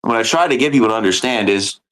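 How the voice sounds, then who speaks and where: A man who teaches elementary history, explaining in earnest with many pauses and fillers in a casual podcast chat. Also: fairly low pitch, lively intonation, fairly bright tone, rough recording.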